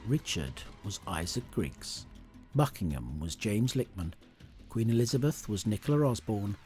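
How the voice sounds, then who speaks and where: Brief: male credits announcer, lowish voice, steady list read, music playing underneath. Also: moderate pace. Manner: plain, even listing. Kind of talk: scripted credits reading. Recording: voice over music.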